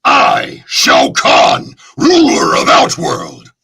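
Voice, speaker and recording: A man voicing a menacing male warlord emperor who taunts in booming shouts. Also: huge pitch swings, very slow, vocal fry, full character voice.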